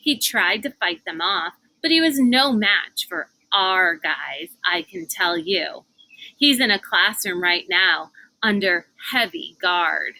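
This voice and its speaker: A smug older girl bully from a storybook, acted out by a woman reading aloud. She is brash and gossipy, gloating in a bright, forceful voice with slow, savoring delivery. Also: fairly low pitch, lively intonation, no echo, full character voice, low-quality recording. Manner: brash, chatty, self-satisfied. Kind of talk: storybook character voice.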